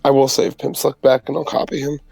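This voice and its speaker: A man's voice: mid-range voice, monotone, brisk, creaky, a little mellow, husky, no echo, faint music behind. A man playing a tabletop roleplaying game, talking casually in a laid-back, slangy, informal way.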